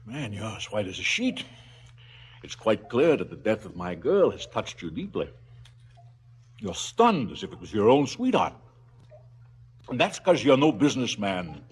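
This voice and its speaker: An actor playing a callous, blustering male crime boss in a stylized radio drama, slangy and falsely fatherly with a husky voice. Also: on the low side, wildly swooping pitch, normal speed, pauses often, full character voice. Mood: oily, persuasive.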